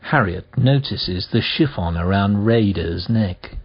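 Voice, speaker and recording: A man's voice: on the low side, expressive, slow, slightly rough, highs cut off. A man reading stage directions as scripted narration for a radio play. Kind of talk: scripted narration in present tense.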